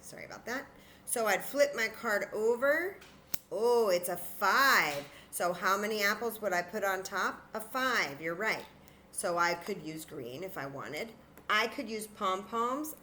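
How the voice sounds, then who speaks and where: A woman's voice: medium pitch, expressive, measured pace, pushed and bright, slight background noise, low-quality recording. A woman teaching young children in an interactive demonstration, patient and encouraging, thinking aloud as she goes. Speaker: children's story time teacher.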